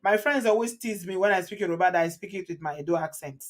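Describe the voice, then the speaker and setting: A woman with a Nigerian accent hosting a call-in show, chatting casually and playfully with teasing, expressive interjections. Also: lowish voice, fast talker. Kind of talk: casual chat with callers.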